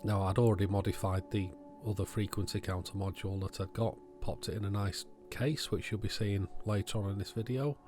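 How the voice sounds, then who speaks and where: A man's voice: lowish voice, steady tone, a little fast, hardly any pauses, a little mellow, faint music behind. A man hosting a hobby electronics video, giving casual, matter-of-fact commentary as he thinks aloud while handling and testing things.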